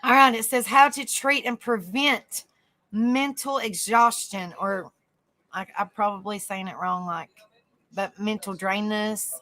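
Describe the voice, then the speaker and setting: A woman hosting a casual livestream, chatting softly with viewers by name and reading notes aloud. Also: mid-range voice, steady tone, measured pace. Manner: soft, easygoing, chats with viewers by name. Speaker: livestream host.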